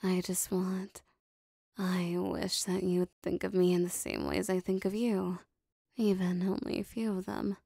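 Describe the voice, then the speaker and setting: A woman playing a lovestruck, possessive demon bound to a sorcerer, speaking softly and formally in an intimate roleplay audio drama. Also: lowish voice, flat delivery, no echo, studio quality, full character voice. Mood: yearning devotion. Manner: soft, breathy, formal archaic phrasing, lingering pauses.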